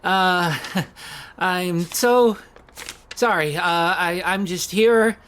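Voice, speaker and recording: Woman acting hesitant, low-voiced nervous young job seeker. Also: very slow, fairly bright tone, slight background noise. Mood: flustered, apologetic. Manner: hesitant, stammering, rambling. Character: awkward, nervous young job seeker caught sneaking at night. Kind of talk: naturalistic audio drama acting.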